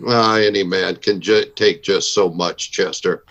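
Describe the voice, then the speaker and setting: A low-voiced, steady frontier marshal. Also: male voice, a little slow, exaggerated voice. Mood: businesslike. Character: steady, matter-of-fact male Old West lawman. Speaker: actor playing a marshal. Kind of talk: old-time Western radio acting. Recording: dull band-limited audio.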